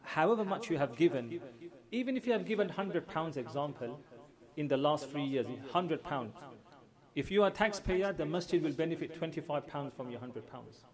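A man's voice: fairly high pitch, lively intonation, moderate pace. A man leading prayers, giving an earnest address to his congregation and repeating phrases for emphasis; his first language is not Urdu. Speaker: imam. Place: large mosque hall with congregation. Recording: crowd audible behind.

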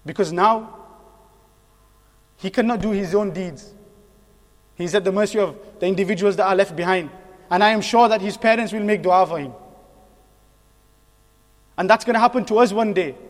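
High-pitched male preacher in an echoing hall. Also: moderate pace, pauses often. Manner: earnest, exhorting with repeated phrases and rhetorical questions. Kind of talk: sermon. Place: mosque hall. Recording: long echoing hall reverb.

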